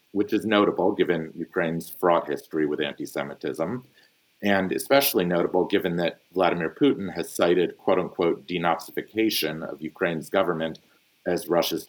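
A man hosting a podcast panel discussion, introducing guests in a measured, formal way. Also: deep voice. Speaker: podcast host, newsletter editor.

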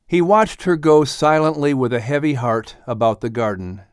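A man's voice: medium pitch, moderate pace, clean tone, no echo, studio quality. A man reading scripted narration as an audiobook storyteller and announcer.

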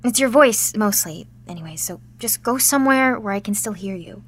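A woman's voice: medium pitch, animated, normal speed, fairly bright tone, slight background noise, close to an everyday voice. A young woman playing an anxious, awkward teenage girl with superpowers in an audio drama, hesitant and wry. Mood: cautious. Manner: hesitant, self-deprecating, wry. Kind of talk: naturalistic audio drama acting.

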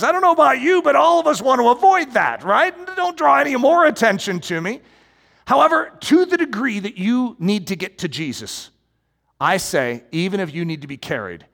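A man preaching a sermon as pastor, animated and conversational, with asides to the congregation. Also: high voice, vocal fry. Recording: long hall reverb.

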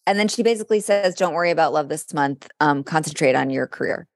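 Low-voiced woman with creaky fry. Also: lively intonation, quick pace, no echo, studio quality. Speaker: podcast co-host. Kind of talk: casual chat, reading horoscopes aloud with commentary.

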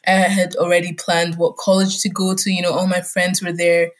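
A woman's voice: lowish voice, completely flat delivery, moderate pace. A young woman whose first language is German, a student guest who grew up in Germany and Scotland, telling her story reflectively, with fillers. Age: young adult. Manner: reflective, with fillers and soft laughs. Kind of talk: personal storytelling in an interview.

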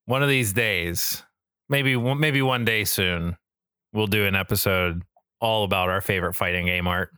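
A man's voice: fairly low pitch, normal speed, sharp tone, smooth, no echo, clean studio sound. A man co-hosting a podcast in a casual, informal chatty style. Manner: informal, frequently swearing. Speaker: podcast co-host.

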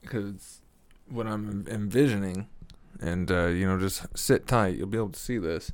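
A young man with a deep voice hosting a casual solo podcast, laid-back and swearing often, chuckling at his own stories. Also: measured pace, no room sound. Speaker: podcast host. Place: small home room with foam on the walls. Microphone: studio mic at a desk.

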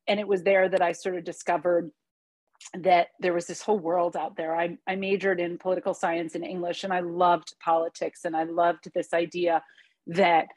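A woman in her forties, born in the United States with Hungarian as her first language, talking candidly as a podcast guest, often pausing with fillers and restarts. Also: fairly low pitch, little pitch movement, darkish tone, no echo. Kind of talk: conversational interview.

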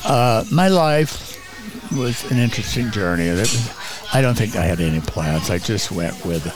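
An older man, a guest photographer in a casual interview, talking enthusiastically and rambling with a voice that is getting raspy. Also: lowish voice, lively intonation, moderate pace, over music. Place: small bar.

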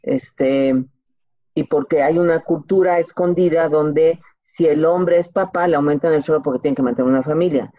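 A woman's voice: sits low, moderate pace, mellow. Mexican woman, colloquial, slightly dull recording. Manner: colloquial, illustrates points with examples and rhetorical questions. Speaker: podcast guest giving career advice. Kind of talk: casual conversational interview answers.

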